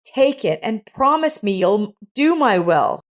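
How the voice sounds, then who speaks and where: A woman voicing a sick, kindly older woman in a storybook narration, speaking gently and earnestly. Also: mid-range voice, big pitch swings, slow pace, voice pushed up. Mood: earnest, solemn.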